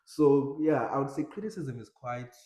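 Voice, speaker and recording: A man with a Zimbabwean accent in a reflective, casual conversation, halting often with fillers and restarts. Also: medium pitch, lively intonation, warm tone, echoey room.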